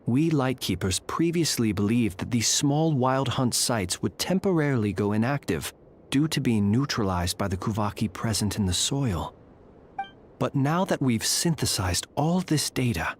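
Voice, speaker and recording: A man playing a composed, analytical guard investigator in a video game, speaking in a measured, formal, articulate way. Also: medium pitch, a little hiss or hum. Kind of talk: voiced game dialogue.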